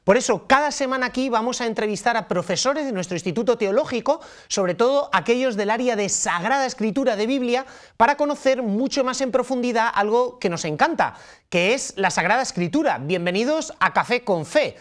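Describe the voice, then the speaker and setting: A man's voice: very high-pitched, expressive, a little fast. A man in his thirties with a Castilian Spanish accent, enthusiastically and conversationally presenting a religious TV programme from a studio. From La Mancha, Spain. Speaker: host, priest and Bible teacher. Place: dark TV studio at a table. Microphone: studio microphone on a stand.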